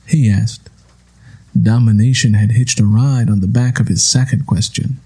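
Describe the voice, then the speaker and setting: Male audiobook narrator, soft-edged and husky, measured and solemn delivery of literary fiction. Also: lowish voice, steady tone.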